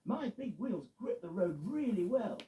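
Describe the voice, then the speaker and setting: A man voicing a steady, polite male delivery truck who is a little worried about the snow. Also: sits high, measured pace, echoey room, voice pushed up. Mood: earnest. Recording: muffled, with music behind.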